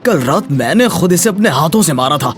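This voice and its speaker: A man's voice: fairly high pitch, lively intonation, a little fast, faint music behind, close to an everyday voice. An actor playing a thuggish, boastful and menacing village villain, devoted to his lover, in a dramatized moral story. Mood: defensive bravado.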